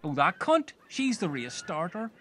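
A man's voice: high voice, wildly swooping pitch, a little slow, fairly bright tone, a little hiss or hum, full character voice. A man playing a childish, boastful castaway goat racer in a comedy sketch, crude and gloating. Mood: matter-of-fact.

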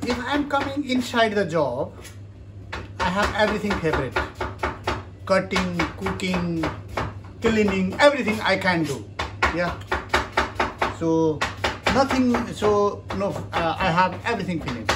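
Man chef talking over loud chopping. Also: high-pitched, lively intonation. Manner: halting, broken English in short bursts. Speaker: restaurant chef. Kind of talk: casual explanation while demonstrating. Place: commercial restaurant kitchen, indoors. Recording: loud kitchen noise with chopping.

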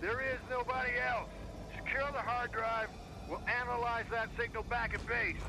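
An actor playing a commanding military captain in a video game, giving curt, authoritative orders. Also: male voice, very high-pitched, flat delivery, normal speed, gravelly. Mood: firm. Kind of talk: video game cutscene acting. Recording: loud mechanical and ambient noise.

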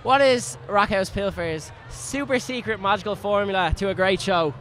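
A man's voice: sits high, normal speed, a bit bright, slight background noise. A young Irish man reporting from a music festival outdoors, doing enthusiastic vox-pop interviews on a handheld mic. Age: young adult. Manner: enthusiastic, presenter-like.

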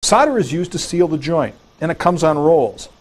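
A man hosting a home improvement show, giving plain, steady step-by-step instructions as he demonstrates the work. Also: fairly high pitch, normal speed.

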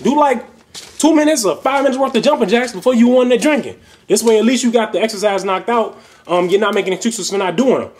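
Young man, high-pitched, casual vlog, rambling, filler words, webcam, small home room. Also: fast, a little mellow. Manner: rambling, loose, many fillers and restarts. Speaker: fitness vlogger. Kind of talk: casual video response. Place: small bedroom at home, indoors.

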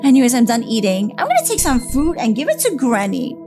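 A woman voicing a worried, expressive young big sister in a horror game roleplay. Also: on the high side, brisk, music in the background. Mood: casual, concerned. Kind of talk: voiced game roleplay acting.

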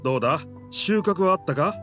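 High, boastful talking pirate ship. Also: male voice, animated, a little fast, fairly bright tone. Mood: teasing. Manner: boastful, theatrical, rough masculine speech. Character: talking pirate ship, proud boastful male, hearty and dense. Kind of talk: Japanese game dub dialogue. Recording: music under the dialogue.

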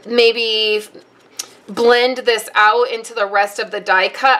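A woman's voice: on the high side, pushed and bright. A woman giving a casual step-by-step card-making tutorial, chatting through each step as she works at her craft desk.

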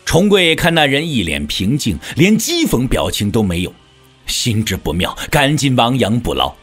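A man's voice: mid-range voice, normal speed, husky, faint music behind. A man narrating a martial-arts story in a theatrical, scripted storytelling style. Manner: theatrical, expressive.

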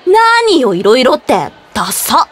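A woman's voice: high-pitched, wildly swooping pitch, a little slow, slightly rough, heavily acted. An actress playing a forthright teenage schoolgirl in an anime-style voice drama. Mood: curious, teasing.

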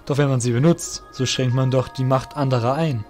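Mid-pitched male storyteller with measured delivery. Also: fairly even intonation, darkish tone, soft background music. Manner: measured, literary reading. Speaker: narrator. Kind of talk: scripted audio drama storytelling.

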